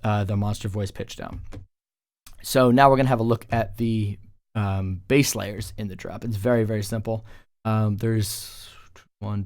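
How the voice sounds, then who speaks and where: A man's voice: on the low side, a little mellow, no echo, clean studio sound. A man talking casually as a music producer, explaining his work in an informal tutorial voice-over. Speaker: music producer presenting a track breakdown.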